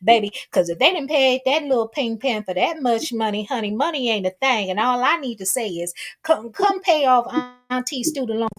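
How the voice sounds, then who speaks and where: A woman co-hosting a casual gossip talk show in a sassy, animated way, sprinkling in pet names. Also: fairly high pitch, moderate pace, faint music behind.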